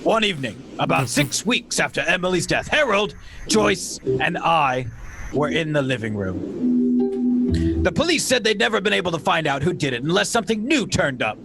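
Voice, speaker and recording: A man's voice: on the high side, very animated, moderate pace, creaky, loud music behind the voice. A man playing a skeptical man who narrates an eerie murder mystery in a dramatic old-time radio style. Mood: eerie, grave.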